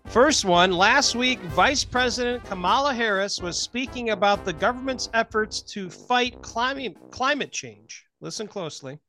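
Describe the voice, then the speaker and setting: A man's voice: extremely high pitch, expressive, normal speed, few pauses, bright, forceful tone, faint music behind, rough recording. A man co-hosting a radio show in casual banter, repeating phrases for emphasis.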